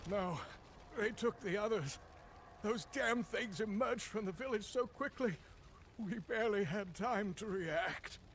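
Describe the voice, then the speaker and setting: A rattled guard in a fantasy game. His voice is high and distressed, with faint noise behind it. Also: unhurried, a bit bright. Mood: shaken, alarmed. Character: shaken male guard, survivor of an attack. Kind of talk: dramatic video game acting.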